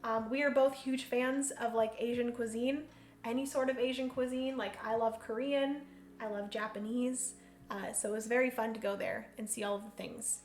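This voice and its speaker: A woman's voice: on the high side, fairly even intonation, normal speed. A woman hosting a solo board game livestream, chatty, thinking aloud and laughing often. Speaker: host. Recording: roomy room echo, faint hum.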